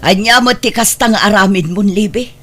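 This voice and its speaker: A woman's voice: medium pitch, moderate pace, fairly bright tone, faint music behind, close to an everyday voice. A woman with an Ilocano Filipino accent playing a proud, stern mother of grown daughters in an audio drama. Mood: stern, bitter. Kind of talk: heartfelt audio drama acting.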